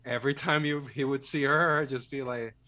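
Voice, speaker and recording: A man with a medium-pitched voice co-hosts a casual film podcast. He talks in a loose, rambling way with a joking, self-deprecating streak. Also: steady tone, normal speed, over a noisy crowd. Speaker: podcast host.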